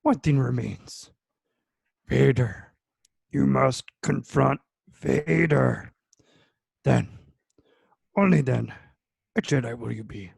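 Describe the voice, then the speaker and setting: A man voicing a tiny, ancient, dying alien sage, wise and weary, in halting inverted phrases during an amateur script read. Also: mid-range voice, darkish tone, no room sound, full character voice. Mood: grave, weary. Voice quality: creaky, croaking, husky. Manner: inverted syntax, halting, grave. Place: home room, on a video call.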